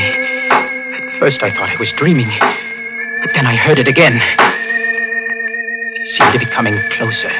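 A panicking young murderer. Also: male voice, mid-range voice, rough recording. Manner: stammering, panicky, breaking into frantic laughter. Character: guilt-ridden young man who murdered his uncle, unravelling into panic. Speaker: narrator and lead character. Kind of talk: 1940s radio drama acting. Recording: old radio broadcast with music.

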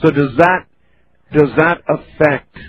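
A man's voice: a little high, fairly even intonation, takes it slowly. A man hosting a radio talk show, interviewing his guest with brief acknowledgments and pointed questions. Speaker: radio host. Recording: muffled radio broadcast with faint hiss.

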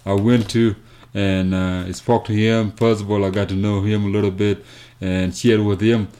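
A man with a South Sudanese accent telling his story as an interview guest, haltingly and with repeated words. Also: fairly low pitch, level tone, dark tone. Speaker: interview guest, foundation founder. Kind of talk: conversational storytelling.

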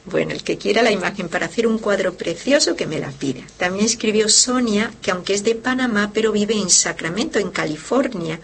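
A woman's voice: mid-range voice, animated, fairly quick, gravelly, hardly any pauses, breathy edge. A woman who is a religious sister, hosting a devotional program, explaining with fervor and speaking directly to her listeners. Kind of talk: devotional teaching and reading listener letters. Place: lives in Barcelona.

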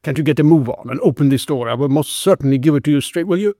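A man voicing a gruff, stern police constable in a storybook, with a commanding manner. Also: a little high, fast talker, warm tone, no echo, clean studio sound, natural voice. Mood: commanding, loud. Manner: commanding, scolding, growling. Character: gruff, stern male police constable, blustering but soft-hearted.

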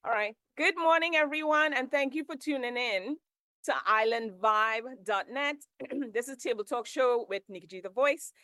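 A woman's voice: sits high, moderate pace, sharp tone, no echo. Woman radio host, dry close sound. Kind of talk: casual talk show interview.

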